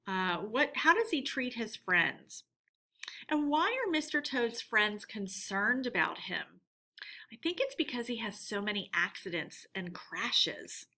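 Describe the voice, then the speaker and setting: A woman's voice: a little high, sing-song, unhurried, bright, forceful tone. A woman teacher reading a children's story aloud expressively and putting questions to her pupils.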